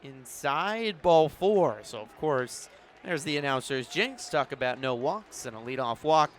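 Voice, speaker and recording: A man's voice: on the high side, animated, measured pace, a little hiss or hum. A man doing live radio play-by-play commentary of a baseball game as the announcer. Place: broadcast position at an outdoor ballpark in the evening.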